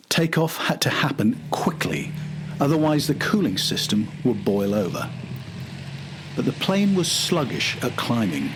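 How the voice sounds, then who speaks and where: A man's voice: mid-range voice, background noise. An older man narrating a documentary from a script.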